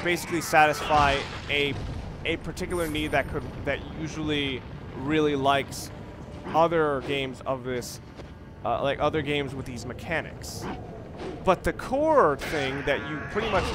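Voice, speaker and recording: A man giving casual, reflective gameplay commentary, rambling and halting as he thinks aloud. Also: a little high, background noise. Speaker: let's play commentator.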